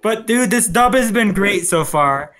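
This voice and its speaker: A mid-pitched speaker leads a casual group chat. The delivery is conversational, with phrases repeated while searching for the next word. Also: lively intonation, measured pace, fairly bright tone.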